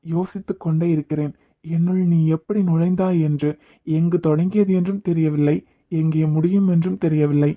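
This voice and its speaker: A man playing a young man secretly in love, speaking softly and poetically. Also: on the high side, fairly even intonation, fairly quick, lo-fi sound, close to an everyday voice. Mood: tender. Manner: soft, tender and poetic. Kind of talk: romantic audio drama acting.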